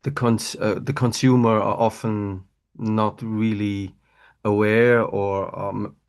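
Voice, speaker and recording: A man's voice: fairly low pitch, flat delivery, slow, clear, resonant tone. A man from Switzerland with a Swiss French accent, a native French speaker, hesitantly explaining his startup on a video call. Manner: hesitant, searching for words. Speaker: webinar participant. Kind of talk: casual chat.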